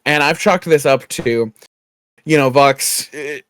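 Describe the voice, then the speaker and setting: A man co-hosting a podcast in casual chat, quiet and rambling, with a soft, slightly raspy, gravelly voice. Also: fairly high pitch, slow pace. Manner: quiet, rambling, many fillers. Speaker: podcast co-host. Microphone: home studio microphone.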